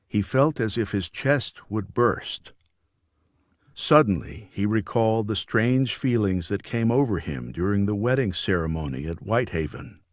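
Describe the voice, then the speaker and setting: A man narrates a novel in a low voice at a very slow pace. His delivery is solemn and deliberate, like a careful audiobook reading, and the sound is dry and close. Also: expressive, no room sound.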